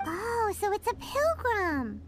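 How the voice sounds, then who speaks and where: A woman's voice: extremely high pitch, very animated, slow pace, faint music behind, heavily acted. A woman voicing a small, chirpy, pushy childlike fairy companion in a video game, perky and emphatic. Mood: curious, teasing.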